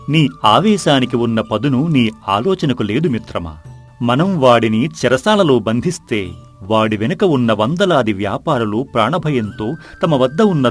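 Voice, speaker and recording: A man playing a calm, shrewd chief minister in a folk-tale drama, measured and courteous. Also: medium pitch.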